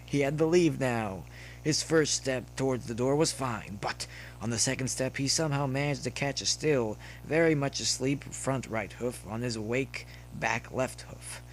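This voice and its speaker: Male story narrator, mid-pitched, expressive read, faint hum and noise. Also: poor audio. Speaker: narrator reading fan fiction.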